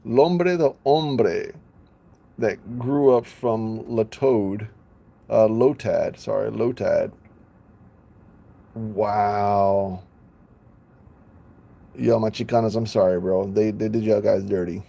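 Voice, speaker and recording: Man, live streamer, gravelly voice, mellow tone, playful, laughs a lot. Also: lowish voice, slow, pauses often. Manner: playful, laughs often, stumbles over names. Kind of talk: casual live-stream chatter.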